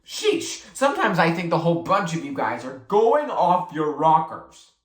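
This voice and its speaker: Blunt, stubborn boy in a storybook, played by an adult reader, grumbly slang, lively swings, roomy. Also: medium pitch, normal speed, pitched above the performer's own voice. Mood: worried. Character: stubborn, worried sporty boy, grudging and blunt.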